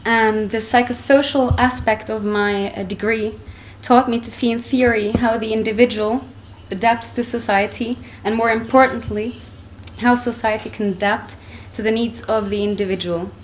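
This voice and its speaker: A young woman from Finland reading a prepared talk into a lectern microphone in a conference hall, measured and with frequent ums and restarts. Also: a little high, steady tone, a little hiss or hum. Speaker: conference speaker. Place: wood-panelled conference hall, indoors.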